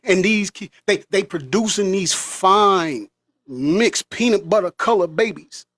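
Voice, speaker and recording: A man's voice: sits high, measured pace, fairly bright tone, no echo, studio quality. An American man delivering an impassioned, preachy monologue as a vlogger. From United States.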